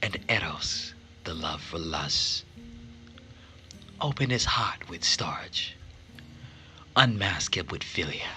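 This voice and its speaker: A man voicing a stern, vengeful mother from a visual novel, speaking slowly and solemnly like an incantation, often dropping to a whisper. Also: deep voice, animated, pushed and bright, faint music behind, full character voice. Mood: solemn, menacing. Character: stern vengeful mother casting a curse, middle-aged woman. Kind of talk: dramatic reading of a visual novel.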